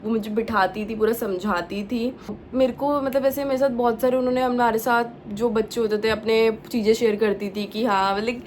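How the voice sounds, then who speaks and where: A woman's voice: a little high, steady tone, moderate pace, darkish tone, slight background noise. A young woman from India, a native Punjabi speaker, chatting casually in a vlog and sprinkling English words and fillers into her talk. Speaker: vlogger. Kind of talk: casual chat.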